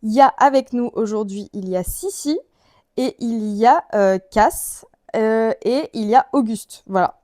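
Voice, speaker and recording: A young woman talks to her vlog viewers in a fairly high voice. She rambles casually and uses plenty of slang, and the sound is dry with little room echo. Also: measured pace, darkish tone. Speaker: vlogger.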